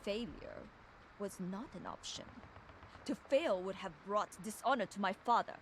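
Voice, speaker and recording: A woman's voice: mid-range voice, a little slow, a little hiss or hum, close to an everyday voice, poor audio. An actress playing a proud, fierce young woman enforcer, delivering a solemn, controlled dramatic monologue for a video game audio log. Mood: resolute.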